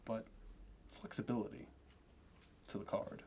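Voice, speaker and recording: A man playing a smug, fastidious investment banker in an ASMR roleplay, with smooth, measured, fussy sales talk. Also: a little mellow, lightly acted. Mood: meticulous appraisal. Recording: clear background noise.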